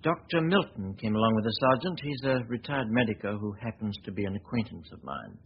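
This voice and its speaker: A suave amateur detective. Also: male voice, fairly low pitch, expressive, fairly quick. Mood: cool. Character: suave, confident male writer-detective. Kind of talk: 1940s radio acting. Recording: dull lo-fi 1940s radio recording with faint hiss.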